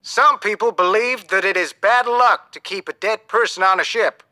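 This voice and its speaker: A man's voice: high-pitched, normal speed, bright, forceful tone, pitched above the performer's own voice. A man voicing a commanding, grave ship captain with an emphatic delivery in an audiobook story. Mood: grave, explaining. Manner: emphatic, short urgent orders. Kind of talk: audiobook character dialogue.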